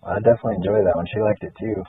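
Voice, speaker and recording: A man's voice: lowish voice, steady tone, racing, slightly rough, no echo. A male interviewer asking a musician easygoing questions, often saying "right on". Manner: easygoing, short acknowledgements like "right on". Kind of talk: casual interview. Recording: dry, tinny phone-like sound.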